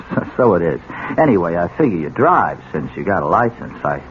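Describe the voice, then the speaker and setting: An actor playing a wily police detective sergeant in a 1970s radio drama, halting and casual as he feigns bumbling. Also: low-pitched, sing-song, highs cut off. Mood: slyly probing. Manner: halting, casual, wheedling, full of uhs. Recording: noisy, band-limited old radio recording.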